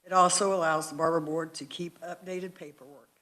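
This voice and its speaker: A man's voice: on the high side, fairly even intonation, fairly quick, sharp tone, poor audio. A man who is a barber shop owner and instructor reads an earnest prepared statement to a committee. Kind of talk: prepared testimony.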